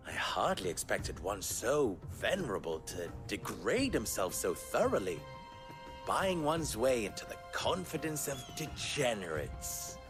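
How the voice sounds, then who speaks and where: A suave fixer in a fantasy game. His mid-pitched voice swoops widely as he speaks with wry, formal eloquence over background music. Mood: amused, mocking. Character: suave, wry, silver-tongued male fixer. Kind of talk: theatrical video game acting.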